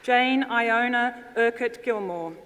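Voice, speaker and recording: A woman announcer formally reading out names at a ceremony in a measured manner. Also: on the high side. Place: large ceremony hall. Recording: echoey hall with loud audience noise. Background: loud crowd noise.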